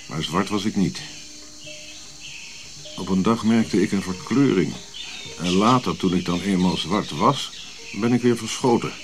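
An actor playing an irritable, haughty old African prince in a radio drama, grumbling, scolding and given to reminiscing. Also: male voice, low-pitched, a little mellow, a little hiss or hum.

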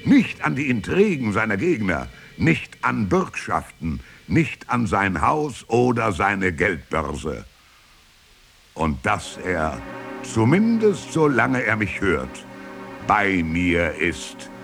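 Proud, creaky-voiced scholar-poet, slow and solemn. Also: male voice, fairly low pitch, sing-song, faint music behind, full character voice. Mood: imperious, demanding. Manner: measured, deliberate, rhetorical, with emphatic swells and hushed endings. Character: Petrarch, proud, reflective medieval Italian scholar-poet. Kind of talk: solemn literary radio monologue, declaimed.

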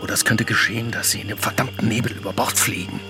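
Male actor as menacing male outlaw captain, German radio drama, lowish voice, curt, suspicious, music behind. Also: fairly even intonation, fast talker, lightly acted. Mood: threatening, impatient.

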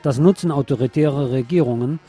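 Guy reading news on the radio, middling pitch, music behind him. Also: fairly quick, warm tone, slightly rough. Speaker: radio presenter. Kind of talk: scripted news reading, later live eyewitness report.

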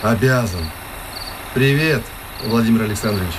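An actor playing an easygoing, deferential village bus driver in a radio play, plain-spoken and obliging. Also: male voice, on the low side, normal speed, background noise, close to an everyday voice. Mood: patient, obliging.

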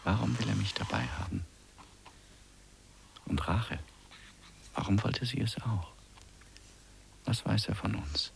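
A suspicious, brooding man murmurs an inner monologue in a radio drama. His voice is very low and heavily gravelled with fry, over faint noise. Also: measured pace, pauses often. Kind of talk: German radio play acting.

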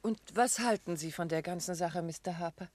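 An actress playing a detective's composed, gently probing wife in a 1950s German radio play, with polite manners. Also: female voice, on the low side, a little fast, lightly acted.

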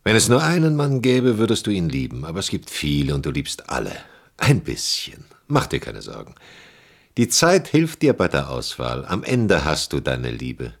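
Aging ironic lover, very deep clouded voice, world-weary, theatrical, radio drama. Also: male voice, wildly swooping pitch, moderate pace, vocal fry, exaggerated voice. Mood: sardonic. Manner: theatrical, swinging from sardonic to brooding. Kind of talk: radio play acting.

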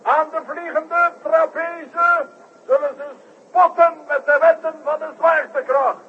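A man's voice: extremely high pitch, steady tone, a little slow, sharp tone, exaggerated voice. A man playing a grand circus ringmaster in a 1960s radio drama, announcing the acts in a formal, declamatory showman's style. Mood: grand, suspenseful. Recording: noisy muffled 1960s radio recording.

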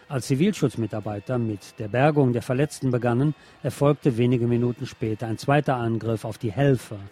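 A man's voice: medium pitch, mellow, husky. A man reading scripted political news and commentary as a radio presenter.

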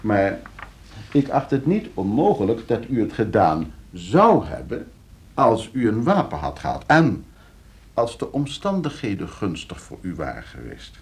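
An actor playing a smug, scheming lawyer in a Dutch radio play, suave and condescending, with a Netherlands accent and hesitant repetitions. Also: male voice, fairly low pitch, wildly swooping pitch, measured pace, full character voice. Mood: needling, smooth. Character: smug, scheming lawyer, falsely hospitable and needling.

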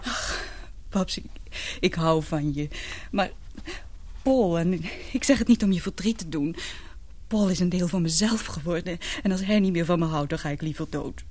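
Actress as anguished, halting young woman. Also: lowish voice, darkish tone, close to an everyday voice. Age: young adult. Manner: halting, earnest, emotional. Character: anguished young woman in love, confiding in her father. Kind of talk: radio drama acting.

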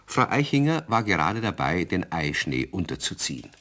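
A man's voice: low voice, big pitch swings, normal speed, fairly bright tone. A man narrating a radio play, telling the story from a script.

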